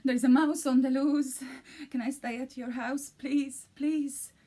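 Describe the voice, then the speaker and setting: A woman's voice: sits high, steady tone, unhurried, mellow, voice pushed up. A woman voicing a timid, easily frightened elephant in a children's storybook reading. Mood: panicky. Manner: fearful, fretting.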